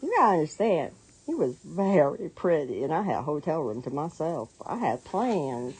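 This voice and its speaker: A woman's voice: low voice, sing-song, normal speed, darkish tone, poor audio. A middle-aged American woman telling a personal story casually, with a playful, sassy manner and acted-out dialogue. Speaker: storyteller, online content creator. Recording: music playing behind the voice. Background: clear background music.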